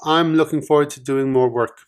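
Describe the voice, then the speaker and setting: A man co-hosting a podcast, talking casually in a dry, teasing way with many pauses and ums. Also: fairly high pitch, steady tone, smooth. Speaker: podcast co-host, author. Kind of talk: casual chat.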